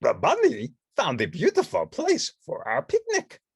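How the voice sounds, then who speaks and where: A woman's voice: fairly high pitch, wildly swooping pitch, unhurried, creaky, slightly rough, no room sound, clean studio sound, voice pushed up, heavily acted. A woman voicing a cute, cuddly, self-admiring little male monster in a children's storybook, cooing. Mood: cute, delighted. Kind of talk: children's storybook read-along character voice.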